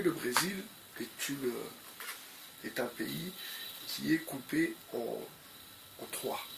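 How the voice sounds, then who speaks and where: A man with a cold talks slowly and haltingly in a gravelly voice, leaning on fillers and looping back on his own phrases. His commentary is unscripted. Clear background noise runs under the recording. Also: medium pitch. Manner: hesitant, repeats phrases, many fillers. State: has a cold. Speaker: video commentator.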